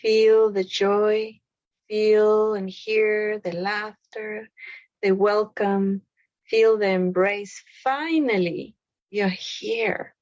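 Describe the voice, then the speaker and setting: Female meditation guide. Unhurried, gentle phrasing with long pauses; dry, slightly dull lo-fi recording. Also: medium pitch, steady tone, no echo. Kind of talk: guided meditation, spoken slowly.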